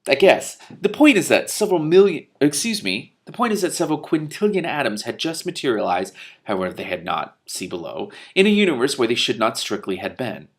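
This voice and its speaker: A man reads a comic fantasy novel aloud in a middle-pitched voice. He trips over words and restarts lines, then bursts out in loud, disbelieving swearing before going back to the text. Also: big pitch swings, brisk, vocal fry, hardly any pauses. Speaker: reader of a novel with commentary. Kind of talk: reading a novel aloud with reactions.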